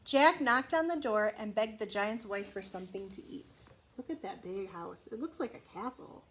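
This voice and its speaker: A woman reading a storybook aloud to children, expressively, pausing to wonder aloud and explain things simply. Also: medium pitch, lively intonation, a bit bright, a little hiss or hum, rough recording. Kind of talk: children's read-aloud with asides to the listener.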